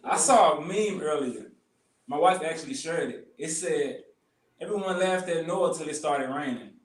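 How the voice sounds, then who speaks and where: A man's voice: a little high, moderate pace. Man from Memphis, echoey and distant. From Memphis, Tennessee. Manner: earnest, faith-filled talk. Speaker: guest. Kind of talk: casual conversation. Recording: roomy sound from off mic.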